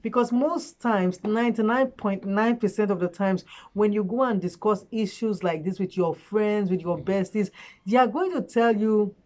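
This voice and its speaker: A woman giving relationship advice in a casual, earnest vlog chat, her voice hoarse from a cold. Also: mid-range voice, moderate pace, a little mellow. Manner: chatty, earnest, frequent fillers. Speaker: relationship vlogger. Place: at home late at night.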